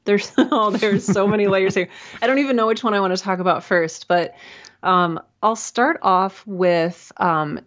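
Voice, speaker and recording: A woman in her thirties, the guest in an interview, talking casually and warmly with a quick laugh and plenty of fillers. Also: medium pitch, highs cut off. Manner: bubbly, chatty, laughs often, many fillers. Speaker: guest, audio drama creator.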